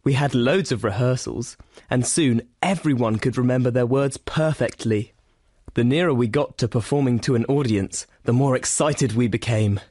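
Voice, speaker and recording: Man reading a steady exam monologue. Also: medium pitch, normal speed, dry recording. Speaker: exam recording speaker.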